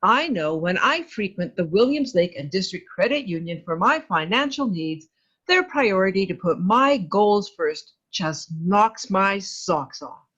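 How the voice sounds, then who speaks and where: A woman plays a folksy, enthusiastic customer in a vintage radio advert. The delivery is gushing and very animated, on a slightly dull, muffled recording. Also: mid-range voice, unhurried. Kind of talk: old-time radio testimonial.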